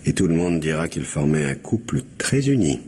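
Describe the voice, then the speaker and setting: An actor playing a wry, uneasy conspirator in a radio mystery, with a gravelly voice and a needling way. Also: male voice, very deep voice, very animated, normal speed, darkish tone, full character voice. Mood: needling, doubtful. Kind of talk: French radio mystery drama acting. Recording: radio drama with audible background noise.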